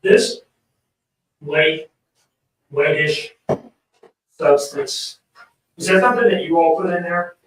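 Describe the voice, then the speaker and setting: A man formally questioning a witness in a halting, precise way. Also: on the high side. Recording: very echoey playback, distant mic.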